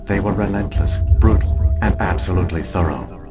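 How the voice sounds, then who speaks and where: An actor playing an ancient alien archive program that speaks in a measured, grave, formal way. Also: male voice, low-pitched, close to an everyday voice. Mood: solemn. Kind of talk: video game voice acting. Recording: music playing behind.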